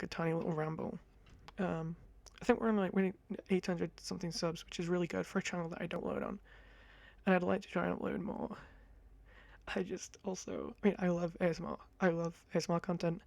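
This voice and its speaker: Gravelly, high-voiced young man. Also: steady tone, a little fast, darkish tone, no room sound. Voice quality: high-pitched voice. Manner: chatty, rambling, self-deprecating. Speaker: ASMR creator. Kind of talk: casual ASMR ramble to camera. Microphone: close condenser mic.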